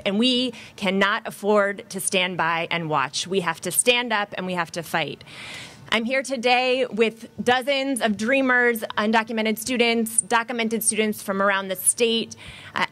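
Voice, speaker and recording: A woman's voice: fairly high pitch, fairly bright tone. A woman speaking as an activist at a rally, with an emphatic, impassioned delivery.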